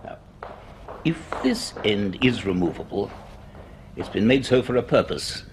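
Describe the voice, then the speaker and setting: A man playing a grave, curious middle-aged university professor in a radio drama, speaking in a measured, formal, authoritative way. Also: fairly low pitch, lively intonation, a little hiss or hum. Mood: intrigued, grave. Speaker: actor and narrator in a radio drama. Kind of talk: radio drama acting and first-person narration.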